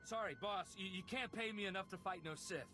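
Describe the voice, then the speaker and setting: An actor playing a timid, frightened hired thug in a video game, stammering and nervous. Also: male voice, sits high, a little fast, sharp tone, soft background music.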